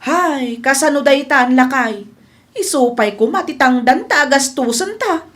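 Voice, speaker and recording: A woman's voice: high voice, animated, moderate pace, fairly bright tone. A woman with an Ilocano Filipino accent playing an evasive, complaining village wife and farmhand in a comedy radio drama.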